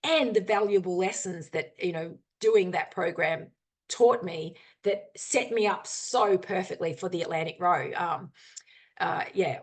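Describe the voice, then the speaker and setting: A woman adventurer giving an earnest, candid talk over a video call, peppered with ums and you-knows. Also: medium pitch. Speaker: guest speaker, adventurer. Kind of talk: informal talk with screen share, then Q&A.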